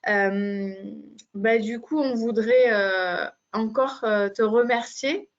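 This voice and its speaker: A woman's voice: fairly high pitch, takes it slowly. A woman hosting a webinar, speaking informally as she gives thanks and announcements. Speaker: webinar host for a medical device company. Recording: muffled, band-limited webinar audio.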